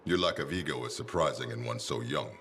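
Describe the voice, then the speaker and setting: An actor playing a stern, proud veteran commander in a video game, speaking in a curt, formal, commanding way. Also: male voice, brisk, a bit bright, slight background noise. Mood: stern, measured. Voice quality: very deep. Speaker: military commander. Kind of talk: scripted video game dialogue.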